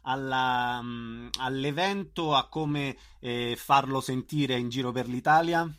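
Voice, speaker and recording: A man interviewing unscripted for radio, giving brief acknowledgements and asking halting questions. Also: medium pitch, a bit bright.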